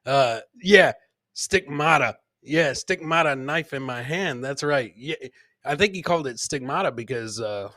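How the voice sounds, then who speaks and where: A man's voice: medium pitch, lively intonation, measured pace, pushed and bright, dry recording, studio quality. Man hosting a loose, sweary comedy podcast. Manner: sweary, repeats himself, exclaiming to listeners. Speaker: podcast host. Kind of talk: casual comedic live show chat, reacting to clips.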